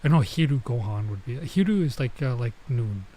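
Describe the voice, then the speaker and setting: A man's voice: medium pitch, expressive, mellow. A man doing a casual let's play, reading visual novel text aloud and commenting as he goes. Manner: halting when sounding out foreign words. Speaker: streamer playing a visual novel.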